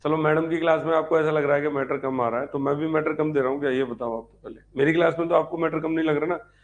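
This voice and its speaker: A man's voice: on the high side, steady tone, fast, lo-fi sound. A man giving an informal online history lecture as a teacher, repeating key points and asking check questions. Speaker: history teacher for exam preparation.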